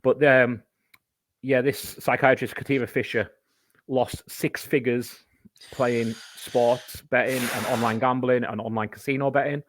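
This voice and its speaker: A man's voice: medium pitch, fairly even intonation, measured pace, no room sound. A man, a podcast guest, explaining a topic in casual chat, talking at length and giving examples.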